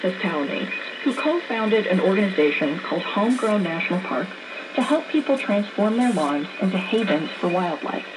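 A woman's voice: mid-range voice, expressive, moderate pace. A woman reading scripted narration for a nature radio program, received over shortwave. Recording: very noisy shortwave radio reception.

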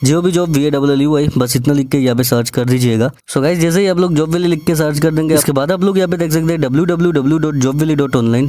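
Male Indian presenter for an instructional screen-recording voice-over; mid-pitched, mellow, quick, repetitive and directly addressing the viewer. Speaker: job vacancy channel presenter.

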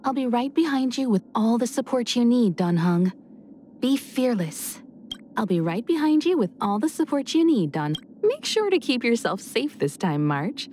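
Poised mentor, swooping pitch. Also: female voice, sing-song, normal speed, mellow, a little hiss or hum. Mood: confident, caring. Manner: reassuring, poised. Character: confident, nurturing, elegant woman mentor. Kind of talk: video game voice acting.

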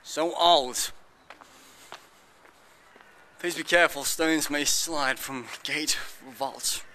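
A rambling man in his thirties narrates a walking tour outdoors, speaking into a handheld camera held at arm's length. His voice sits a bit high for a man, and faint outdoor ambience hums behind him. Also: extremely slow, pushed and bright, a little hiss or hum. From United Kingdom. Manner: rambling, chatty, lots of "like" and "I guess". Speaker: travel vlogger. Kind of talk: casual walking tour commentary. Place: outdoors in a city park and fortress.

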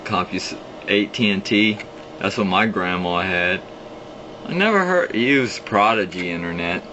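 Young man, deep voice, rambling hobbyist walkthrough, muffled phone audio with steady hum. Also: a little slow. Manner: rambling, restarts, explains as he clicks. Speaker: vlogger showing an old computer. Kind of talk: casual off-the-cuff screen walkthrough. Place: indoors at a home computer desk. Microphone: phone camera held at the screen.

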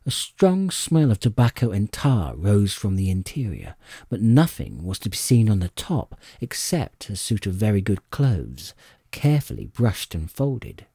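Husky, mellow man narrating with animated pitch. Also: unhurried, dry recording, clean studio sound. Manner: expressive, suspenseful storytelling. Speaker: audiobook narrator. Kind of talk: dramatic scripted storybook narration.

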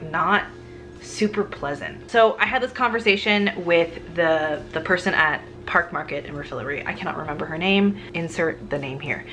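A woman's voice: lowish voice, bright, forceful tone. A woman in her thirties, a food vlogger, talking casually and candidly about her groceries in her home kitchen to a camera close in front of her. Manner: candid, chatty, frequent "like" fillers. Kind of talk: casual grocery haul chat. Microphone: camera on a counter, close framing.

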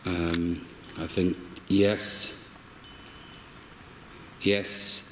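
A man working as a paranormal investigator and medium, haltingly describing his impressions and calling out to spirits inside a cave. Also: deep voice, flat delivery, poor audio. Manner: halting, searching for words. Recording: clear background noise and ambience.

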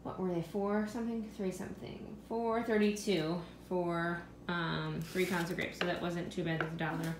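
A woman's voice: fairly low pitch, moderate pace, few pauses. A woman in her thirties, a mother of five, talking through her grocery haul at home and musing aloud over prices. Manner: chatty, thinking aloud about prices. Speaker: vlogger. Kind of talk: casual grocery haul narration. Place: home kitchen. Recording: echoey room with steady background noise.

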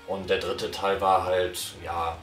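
Man in his thirties, lowish voice, hedging casual chat, roomy far sound. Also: flat delivery, soft background music. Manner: unhurried, hedging. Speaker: podcast reviewer. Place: small living room, on a sofa. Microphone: far camera. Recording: echoey room from far off.

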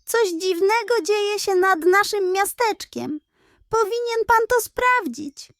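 A woman acts out a bustling hedgehog lady from a children's storybook. She is indignant and insistent, pitched very high and huffing at whoever doubts her. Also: takes it slowly, a bit bright, dry recording, clean studio sound, full character voice. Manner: huffy, insistent.

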